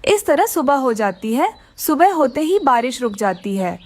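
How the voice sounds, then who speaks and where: A woman's voice: sits high, very animated, a little slow. A woman narrating a scripted children's story.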